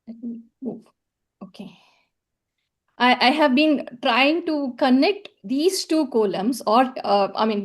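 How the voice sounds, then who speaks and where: Woman presenter, fairly high pitch, hesitant and repetitive, lecture tone. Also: a little mellow. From South India. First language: Tamil. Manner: hesitant, many fillers and repeated words. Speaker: conference presenter. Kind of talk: academic presentation with slides.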